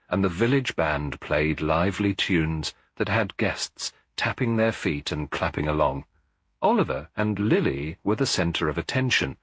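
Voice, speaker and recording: Deep-voiced man narrating a mystery audiobook. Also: breathy edge, no echo, studio quality. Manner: measured, literary storytelling.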